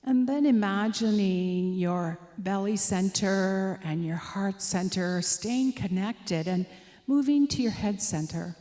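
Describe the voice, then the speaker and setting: A woman leading a guided meditation, giving gentle, inviting instructions as soft suggestions. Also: mid-range voice, slow. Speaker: meditation leader.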